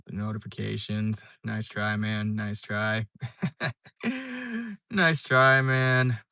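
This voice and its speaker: A man's voice: lowish voice, fairly even intonation, slow pace, gravelly, pushed and bright, clear, resonant tone, no room sound, clean studio sound. A young man live streaming, chatting casually and reading viewer comments, rambling with lots of fillers and restarts. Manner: laid-back, rambling, many fillers and restarts.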